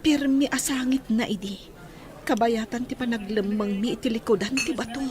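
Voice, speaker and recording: A woman's voice: fairly high pitch, normal speed, crowd noise, natural voice. A woman playing an earnest young daughter who recounts events in an audio drama. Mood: reflective. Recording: clear background noise and ambience.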